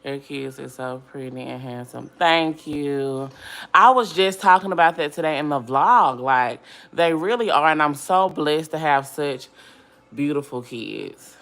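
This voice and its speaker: Low scratchy-voiced woman hosting a livestream. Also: a little slow, a bit bright. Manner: reads viewer comments aloud and answers them, chatty and upbeat. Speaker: livestream host. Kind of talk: casual livestream chat with viewers.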